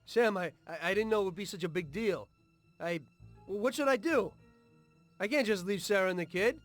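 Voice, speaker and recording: A man acts an earnest young-blooded mobster of the 1930s in a crime game. His voice is a little higher than most men's and plain-spoken, with music playing underneath. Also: normal speed, natural voice. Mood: shaken, anxious. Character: earnest male 1930s mobster, former taxi driver. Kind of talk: video game cutscene acting and story narration.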